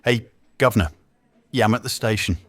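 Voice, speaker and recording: A man's voice: on the low side, fairly quick, lightly acted. An actor playing a dutiful, dryly sardonic police detective in an audio drama, terse in his delivery. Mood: businesslike. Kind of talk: naturalistic audio drama dialogue.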